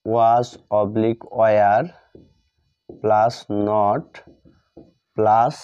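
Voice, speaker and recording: A man's voice: lowish voice, level tone, extremely slow, clean tone, no room sound. A man with a Bengali accent teaches grammar, repeating phrases and spelling words out as he writes examples. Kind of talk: classroom grammar lesson, writing out examples while explaining. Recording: dull lo-fi recording.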